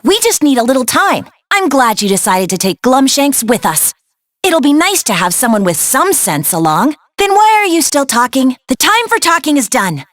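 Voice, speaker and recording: A woman voicing a spirited young female adventurer in a video game, bossy but caring, brisk, earnest and encouraging. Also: high-pitched, sing-song, no echo. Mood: determined, concerned. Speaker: video game character, dispatcher and guide. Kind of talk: animated video game voice acting.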